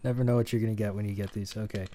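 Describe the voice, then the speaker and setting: A man's voice: fairly low pitch, little pitch movement, racing, dark tone, a little hiss or hum. A man teaching sound editing in a casual, think-aloud tutorial, with keyboard clicks behind him. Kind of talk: screen-recorded tutorial.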